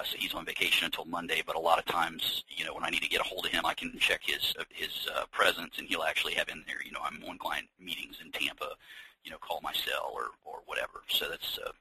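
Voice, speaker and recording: An American man leading an informal software training webinar, explaining things conversationally with practical examples. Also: deep voice, husky, poor audio. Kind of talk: informal software demonstration, unscripted walkthrough.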